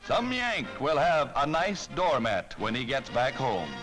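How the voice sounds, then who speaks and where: A man's voice: medium pitch, big pitch swings, a little slow. A man with an American accent narrating a 1940s newsreel in a rousing, declamatory, patriotic way, on a vintage soundtrack. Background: music.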